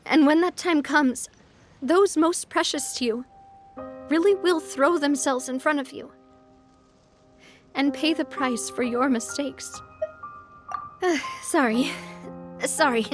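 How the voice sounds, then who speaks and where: Cheerful young noble lady, game character, high voice, easy laughs, faint music. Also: takes it slowly, long pauses. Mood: reflective. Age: young adult. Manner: warm, laughing easily, wistful when reflecting. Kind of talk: video game voice acting. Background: soft game music.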